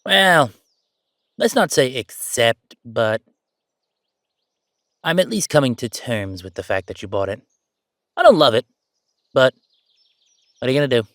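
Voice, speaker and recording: A man's voice: medium pitch, animated, a little slow, long pauses, a bit bright, no echo, studio quality. A man playing a chatty, easygoing ghost who died at thirty-five, rambling casually with wry asides in an audio roleplay. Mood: easygoing, opinionated. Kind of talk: conversational audio roleplay monologue.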